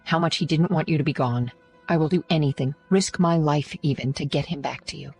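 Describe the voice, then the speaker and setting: A man's voice: a little high, brisk, husky, soft background music, voice pushed up. A man voicing a determined female investigator who speaks earnestly and bluntly. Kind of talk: audiobook narration.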